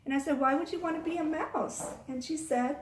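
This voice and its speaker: High-voiced woman teacher, sing-song, roomy sound. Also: a little fast, a little hiss or hum. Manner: sing-song, coaxing, asking child-directed questions. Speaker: early-childhood teacher and storytime host. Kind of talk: storytime talk addressed to young children. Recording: roomy echo, distant microphone.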